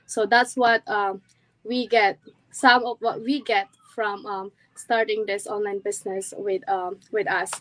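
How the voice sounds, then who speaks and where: Woman, slightly high voice, casual, lots of "like" and "um", dry close sound. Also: fairly bright tone, dry recording, rough recording. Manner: filler-heavy, uses "like" often. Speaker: co-host passing the turn. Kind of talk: casual group chat.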